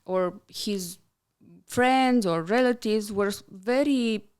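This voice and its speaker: A woman's voice: mid-range voice, slow pace, darkish tone, clear, resonant tone. A young woman with a Romanian accent, a guest architect chatting casually on a podcast, with many fillers and restarts.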